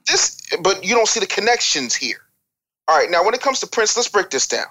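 Panelist, medium pitch, emphatic and lecturing, argues points through rhetorical questions. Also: big pitch swings, fairly quick, sharp tone. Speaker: talk show panelist. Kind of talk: opinionated music-industry discussion.

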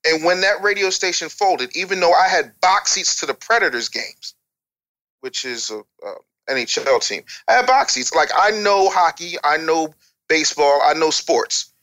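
A man's voice: fairly high pitch, lively intonation, normal speed, pushed and bright, rough recording. An American man speaking as an interview guest, candid and conversational, with a blunt, emphatic, streetwise way of talking. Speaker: interview guest, former radio DJ and record maker.

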